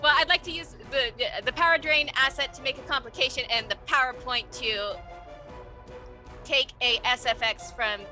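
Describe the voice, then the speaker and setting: A woman's voice: high voice, normal speed, sharp tone, faint music behind. A woman playing a tabletop game, chatting informally and often interrupting herself. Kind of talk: casual, animated game chat.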